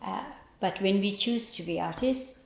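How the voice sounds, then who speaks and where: An older woman from Bangladesh with a Bangladeshi accent, reflecting on her life as a painter in a thoughtful, halting way with pauses. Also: medium pitch, vocal fry. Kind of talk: reflective personal interview. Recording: roomy, echoey indoor sound.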